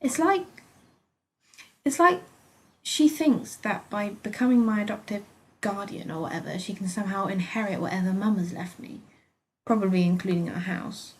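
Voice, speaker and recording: A woman's voice: mid-range voice, expressive, normal speed, close to an everyday voice. A British woman voicing a petulant, sarcastic young female hospital patient in a dramatic first-person story reading, exasperated and huffy. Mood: sarcastic, indignant.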